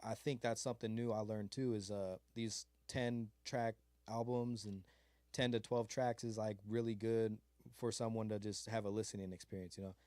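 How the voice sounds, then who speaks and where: A man's voice: lowish voice, little pitch movement, normal speed, darkish tone, dry recording. A man from California's Mojave desert, a beat maker being interviewed, talking in a laid-back, rambling way full of fillers and slang. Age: adult with a child. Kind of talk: casual interview chat.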